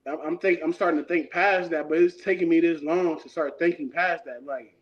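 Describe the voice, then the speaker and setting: Brief: man in his thirties, interview guest, relaxed and slangy, highish voice, muffled lo-fi sound. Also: brisk. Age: 30s. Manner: laid-back, rambling, slangy. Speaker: guest artist. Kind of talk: casual interview talk. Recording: dull lo-fi sound.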